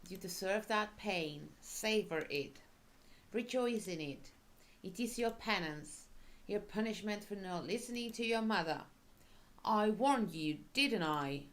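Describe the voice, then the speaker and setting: Woman playing a slow, possessive, cruel mother. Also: mid-range voice, expressive, fairly bright tone. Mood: cruel, gloating. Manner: cloying, manipulative, scolding. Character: possessive, cruel, smothering mother in a visual novel.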